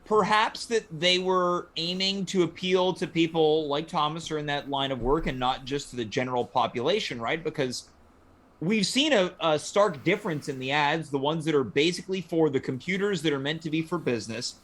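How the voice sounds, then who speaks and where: Higher-voiced man hosting a casual chat. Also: normal speed, a bit bright. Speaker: host. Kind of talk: casual panel discussion.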